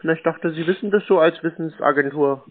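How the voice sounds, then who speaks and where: A man taking a prank phone call, answering in a deadpan, laconic and flippant way. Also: on the high side, brisk. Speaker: prank call recipient baiting phone scammers. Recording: dry but narrow phone-like sound.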